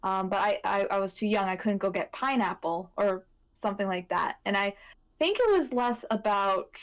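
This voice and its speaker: A woman chatting casually as an anime panelist, pausing and searching her memory as she talks. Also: mid-range voice, animated. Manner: hesitant, searching for recollections. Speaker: podcast panelist. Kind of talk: casual chat about anime.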